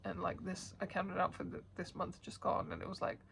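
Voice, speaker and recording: A woman with a blocked, sniffly nose talks to camera in a loose, rambling way, pausing often with hesitant fillers. Her voice has a gravelly, fry-tinged texture. She keeps her pitch flat and even throughout. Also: a little hiss or hum. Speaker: cross-stitch vlogger. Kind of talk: casual chat to camera.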